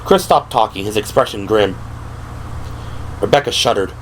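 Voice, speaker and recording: A man's voice: lowish voice, moderate pace, a crowd in the background, rough recording. A man reading scripted third-person narration for an audio drama. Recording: music behind the voice.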